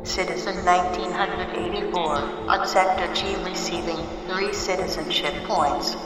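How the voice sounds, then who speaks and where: A woman's voice: lowish voice, fairly even intonation, unhurried, lightly acted. A woman playing an impersonal announcer system of a dystopian regime in a radio drama, clipped, detached and official. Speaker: automated announcer. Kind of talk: binaural radio drama, formal public announcements. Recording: echoey, distant, music underneath. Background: loud music.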